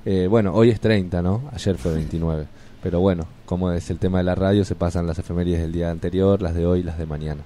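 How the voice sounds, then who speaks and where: Male radio co-host, low and dark, loose and halting delivery. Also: fairly even intonation. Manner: hesitant, many fillers and restarts while explaining. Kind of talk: casual radio chat.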